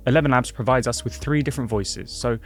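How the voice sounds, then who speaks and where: A man giving clear, brisk instructions as a software tutorial host. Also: medium pitch. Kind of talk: scripted tutorial narration.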